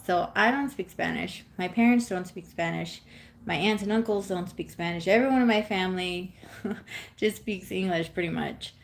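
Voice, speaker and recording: Slow, rambling woman chatting at home. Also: mid-range voice. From California. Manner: rambling, chatty, frequent ums and laughs; knits while talking. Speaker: streamer. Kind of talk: casual live-stream chat.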